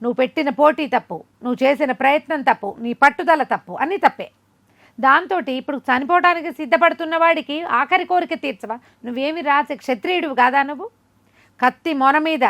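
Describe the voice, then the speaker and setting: A woman's voice: sits high, fairly bright tone. A woman storyteller voicing an indignant young warrior king in a folk tale, scolding with emphatic rhetorical questions. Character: indignant young warrior king defending his revered teacher.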